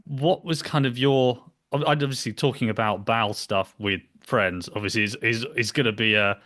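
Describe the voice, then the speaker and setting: A man's voice: mid-range voice, normal speed, a bit bright. A young man hosting a podcast in casual interview chat, with a hesitant, rambling delivery. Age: young adult. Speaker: podcast host. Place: small home room, indoors. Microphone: computer mic on a video call.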